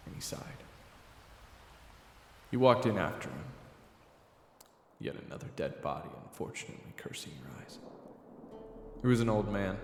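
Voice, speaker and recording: A man's voice: sits low, gravelly. A man narrating a scripted second-person story, in a reverberant recording. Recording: reverberant, echoey, music underneath. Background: background music.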